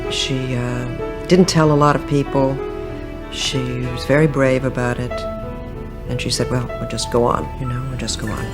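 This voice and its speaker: A man calmly reminiscing about a parent in an interview, reflective and gentle. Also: mid-range voice, moderate pace. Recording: music behind.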